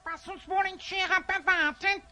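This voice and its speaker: A woman's voice: fairly even intonation, normal speed, sharp tone, soft background music, heavily acted. A woman voicing a boastful, touchy little elf goblin in a children's fantasy audio drama, with a piercing voice and a haughty, bragging manner. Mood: curious, brisk. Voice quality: very high, piercing.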